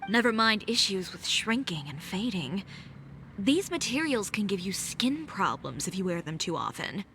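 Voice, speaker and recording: An actress voicing a composed adult female fashion designer who speaks in a calm, measured way. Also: mid-range voice, very noisy. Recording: game audio with faint music.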